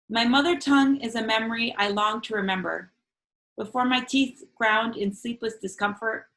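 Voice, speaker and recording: A woman whose first language is Spanish, reading her own poetry to an online open mic. Her delivery is measured and earnest, loosening into casual chat between poems, and her voice sits at an ordinary middle pitch. She is heard through a video-call microphone at home. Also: a bit bright, poor audio. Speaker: featured poet.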